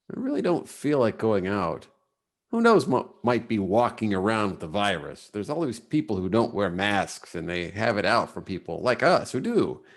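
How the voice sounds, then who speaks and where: A man's voice: low voice, exaggerated voice. An actor playing a jaded, withdrawn man who has given up caring, speaking emphatically in a play reading over a video call. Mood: reluctant, confessing. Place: live Zoom video call.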